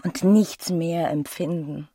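An actress playing a young, restless and disdainful wife in a brooding radio play monologue. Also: female voice, lowish voice, steady tone, slow pace, mellow, breathy edge, no echo, heavily acted. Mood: bitter, brooding.

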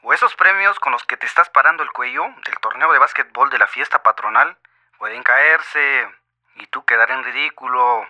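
A man's voice: mid-range voice, moderate pace, bright, forceful tone, muffled recording. An actor with a Mexican accent playing an impatient, threatening mining businessman in a radio drama, pushy and coarse-mouthed. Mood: angry, threatening.

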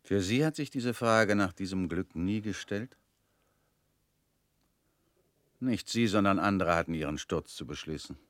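An actor playing a bitter former convict in a radio play, talking in a measured, brooding way. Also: male voice, low voice, dry recording, studio quality, close to an everyday voice. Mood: probing.

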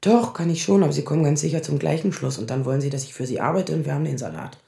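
A woman's voice: sits low, racing, vocal fry, warm tone, reverberant. An actress playing a defensive, sardonic woman in her thirties, confessional, in naturalistic radio drama style. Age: 30s.

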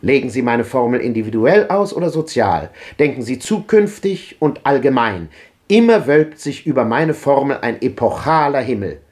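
An actor playing a fervent old visionary philosopher, delivering grand rhetorical speeches in a 1950s radio drama. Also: male voice, medium pitch, sing-song, normal speed, darkish tone, lightly acted. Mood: declaiming. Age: older. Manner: declamatory, impassioned, rhetorical.

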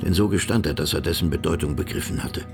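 A man's voice: low-pitched, quick pace, vocal fry. A man narrating a radio drama from a script. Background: music.

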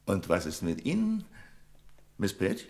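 An actor playing a proud, wry bank official in a radio play, dry and authoritative, quick to turn indignant. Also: male voice, low-pitched, huge pitch swings, gravelly, a little mellow, exaggerated voice. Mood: resigned, wry. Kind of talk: German radio play acting.

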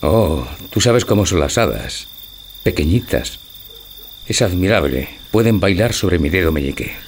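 An old husband with a deep voice, gentle and dreamy. He declaims tenderly, like a secretive schemer in a stage tragicomedy for radio. Faint noise and crickets sit behind him. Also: animated, a little slow. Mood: dreamy, wistful. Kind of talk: theatrical radio drama acting.

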